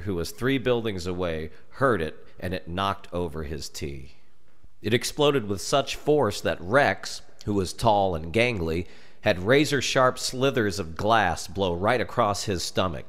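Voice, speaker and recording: An American man narrating a scripted children's horror story in a deliberate, dramatic storytelling manner. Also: deep voice, fairly bright tone.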